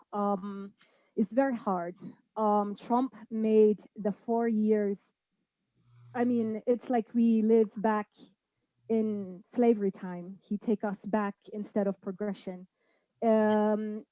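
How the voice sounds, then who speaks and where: A woman's voice: mid-range voice, warm tone, no room sound, poor audio. A young woman from Saudi Arabia, a dancer and guest, answering questions on a video call, hesitant and full of fillers. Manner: hesitant, many fillers, says she is nervous. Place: indoor home room. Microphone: video call webcam.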